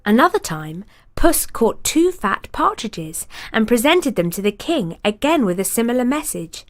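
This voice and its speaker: A woman reading a fairy tale aloud in an expressive storybook cadence. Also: on the high side, normal speed, faint music behind.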